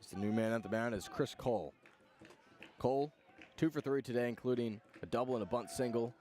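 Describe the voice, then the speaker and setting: A man calling live baseball play-by-play for radio, in short measured phrases with pauses between them. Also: medium pitch, darkish tone. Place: press box at an outdoor ballpark.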